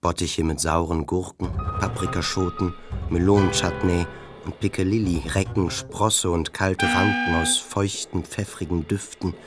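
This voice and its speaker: A man narrating a literary radio drama in a measured, descriptive storytelling manner. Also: low-pitched, fairly even intonation. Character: sober male narrator of a city novel. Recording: music behind the voice.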